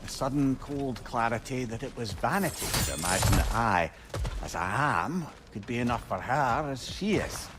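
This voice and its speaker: A man as an eloquent old companion, lively intonation. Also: medium pitch, normal speed, very noisy, close to an everyday voice. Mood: wistful. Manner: eloquent, confiding, storytelling. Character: eloquent, wry, reflective old male companion. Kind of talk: dramatic video game acting.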